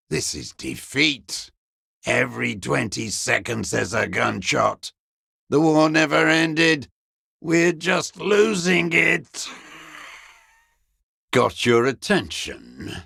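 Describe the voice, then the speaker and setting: Casting: a bitter old sniper in hiding, video game; creaky, gravelly, scornful, very dry close sound. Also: male voice, fairly low pitch, pushed and bright, no room sound, exaggerated voice. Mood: anguished, bitter. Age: older. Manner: slow, contemptuous, bitter invective. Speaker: video game character actor. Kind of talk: dramatic video game voice acting.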